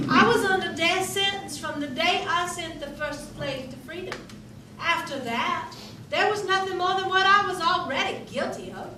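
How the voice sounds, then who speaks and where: A woman's voice: high-pitched, lightly acted. An actress playing an eccentric, defiant Civil War-era Southern abolitionist woman in a theatrical, declamatory stage monologue. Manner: declamatory, emphatic, oratorical. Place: theatre stage, recorded from the audience. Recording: echoey distant stage recording, noisy.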